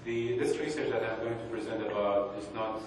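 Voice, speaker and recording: A young man from northern Iraq presents a research talk in a fairly low voice, pausing often and restarting his sentences. He is picked up from a distance in a large hall, with strong echo, a long reverb tail and a faint hum underneath. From Kurdistan, northern Iraq. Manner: hesitant, with many pauses and restarts. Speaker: conference speaker. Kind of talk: academic presentation. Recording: echoey hall, distant mic.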